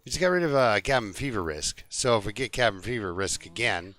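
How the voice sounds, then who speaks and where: A man's voice: fairly low pitch, animated, brisk, fairly bright tone. A man live streaming a video game, chatting casually and jokingly to his viewers in an easygoing, rambling way.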